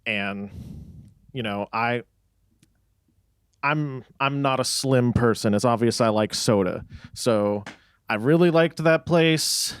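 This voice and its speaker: A man's voice: mid-range voice, slow pace, pauses often, clean tone, no echo, studio quality. A young man from Texas chatting casually in a band interview, rambling and laughing easily. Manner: relaxed, rambling, laughs often. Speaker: band member.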